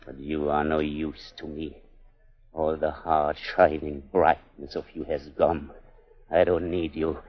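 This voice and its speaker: A man's voice: rumbling low, level tone, a little slow. An actor playing a sinister, power-mad man with a menacing, theatrical delivery in 1940s radio style. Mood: menacing, grandiose. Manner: theatrical, menacing, swinging from hushed to ranting. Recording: dull 1940s radio broadcast, music behind.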